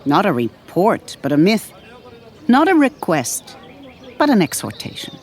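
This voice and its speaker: An aged woman historian, played by a female narrator, speaks in a low, slightly cracked voice. She is very unhurried and oratorical, with sly mischief and quiet authority, like a storybook elder telling a myth. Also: wildly swooping pitch. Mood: solemn, exhortatory. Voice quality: a little cracked and weary.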